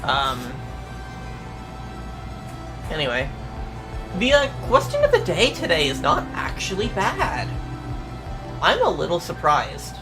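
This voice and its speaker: A young adult streamer talks casually to their chat, wandering through answers with constant ums and uhs and the occasional curse. The voice sits at a middling pitch. Music plays clearly underneath. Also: huge pitch swings, slow, pauses often, poor audio. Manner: rambling, hesitant, many fillers, mild swearing. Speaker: VTuber streamer. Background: music playing.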